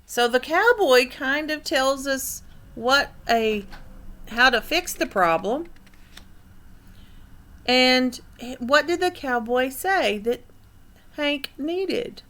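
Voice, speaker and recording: Female teacher for children's read-aloud: fairly high, crisp-edged bright voice, pauses to explain and quiz listeners. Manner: explanatory, asks listeners questions. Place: indoor room.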